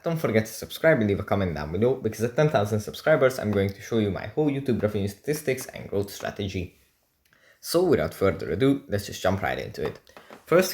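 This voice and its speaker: Low-voiced man with very lively intonation. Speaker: tutorial host. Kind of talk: casual how-to tutorial narration.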